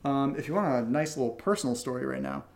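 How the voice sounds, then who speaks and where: A man's voice: medium pitch, lively intonation, fast, darkish tone. A man co-hosting a casual question-and-answer chat, telling stories in a playful, self-deprecating way. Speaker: podcast co-host and audio drama writer.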